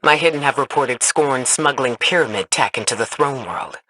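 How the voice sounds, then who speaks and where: A man's voice: medium pitch, natural voice. Formal commander played by a man over noise. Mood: grave. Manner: formal, measured. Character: composed, formal commander briefing soldiers. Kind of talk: scripted video game voice acting. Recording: clearly noisy game audio.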